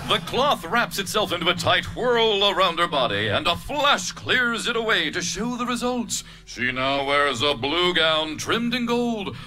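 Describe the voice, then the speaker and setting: High lively guy reading out the stage directions, slow, music going behind. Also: rough recording. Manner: playful, jokey asides. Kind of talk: live reading. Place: convention stage. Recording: live stage recording with music.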